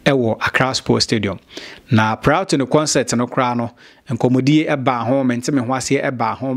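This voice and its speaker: Ghanaian male host, 30s, mid-pitched, chatty bilingual commentary to camera. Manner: mixes Twi and English, talks straight to viewers. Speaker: showbiz news host. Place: small indoor studio. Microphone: studio mic on stand.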